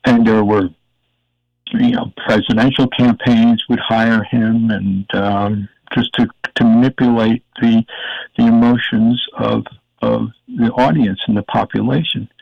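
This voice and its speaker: An older man with a gravelly voice, a guest in an interview, talking in a rambling, emphatic way while searching for words. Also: medium pitch, steady tone, a little slow, no echo. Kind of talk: casual interview talk. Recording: dull, dry audio.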